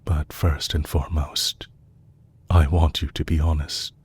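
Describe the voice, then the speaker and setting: A man playing a composed, courteous villain leader who is possessive and lovestruck, speaking formally and deliberately in an intimate romantic audio roleplay. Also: extremely low pitch, flat delivery, a little mellow, breathy edge, heavily acted. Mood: sincere, gentle. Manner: formal, deliberate, courtly phrasing with long pauses.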